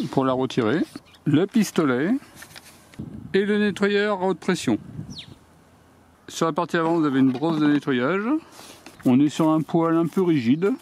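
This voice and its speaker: DIY host, man, slightly high voice, plain practical step-by-step delivery, recorded outdoors on camera mic. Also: darkish tone, a little hiss or hum. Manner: plain step-by-step explaining, addresses viewers directly. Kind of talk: hands-on product demonstration. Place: outdoors on a garden deck.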